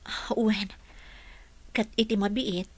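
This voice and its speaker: A woman's voice: mid-range voice, steady tone, slow pace, no room sound. A Filipino woman playing a caring, practical young working woman, an elder sister, in a radio drama. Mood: earnest.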